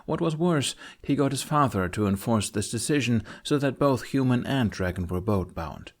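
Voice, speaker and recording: A man's voice: medium pitch, expressive, normal speed. A man reading a fantasy audiobook as scripted narration.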